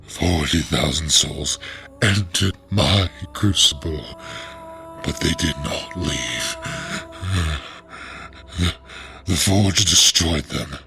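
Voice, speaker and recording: A man playing a solemn, weary dying revenant in an audio drama, halting and wheezing as he strains to speak. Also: extremely low pitch, pushed and bright, faint music behind, exaggerated voice. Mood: solemn, weary confession. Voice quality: gravelly, strained. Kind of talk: dramatic audio fiction acting.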